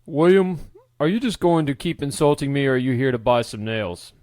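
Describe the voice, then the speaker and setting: A man voicing a stern middle-aged blacksmith father who speaks in a gruff, commanding way. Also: mid-range voice, lively intonation, fairly quick, darkish tone, no room sound, studio quality, natural voice.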